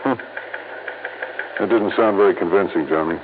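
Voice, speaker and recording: An actor playing a gruff, suspicious police lieutenant in a 1950s radio drama, with a blustery, insistent manner. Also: male voice, sits low, moderate pace, natural voice. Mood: suspicious, needling. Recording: tinny, noisy old radio recording.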